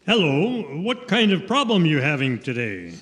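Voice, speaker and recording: In a reverberant hall, a man acts a small-town emergency dispatcher for old-time radio comedy. His voice runs a little high and very animated, with folksy, incredulous questioning and little interest in helping. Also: moderate pace. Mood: puzzled. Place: theatre stage. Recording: echoey theatre hall with long reverb.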